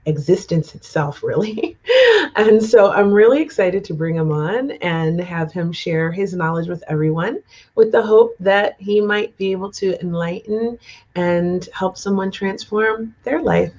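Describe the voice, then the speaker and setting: A woman's voice: on the low side, measured pace, darkish tone, muffled recording. A woman co-hosting a live talk show, gushing as she introduces a guest, with many fillers. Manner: effusive, gushing praise with many "um"s. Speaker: co-host.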